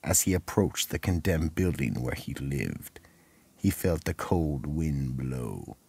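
A male narrator reads a radio mystery script gravely. His voice is extremely deep and husky, and he takes his time over each phrase. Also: expressive, darkish tone, no room sound. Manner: measured, grave.

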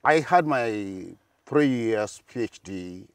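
Animated Nigerian man, slightly off-mic. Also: slow, smooth, no room sound, clean studio sound, recorded from a distance. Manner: animated, rises high when telling stories, laughs easily. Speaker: guest professor. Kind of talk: interview conversation. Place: outdoor garden set, seated. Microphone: lapel.

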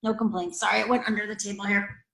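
American lady doing kids storytime, very bright voice, encouraging, bit roomy sounding like a webcam. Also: mid-range voice, little pitch movement, quick pace, vocal fry. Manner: encouraging, exaggerated, addresses young children directly. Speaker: library storytime host. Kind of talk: children's storytime, talking and sing-along. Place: indoors, small home room with closet doors.

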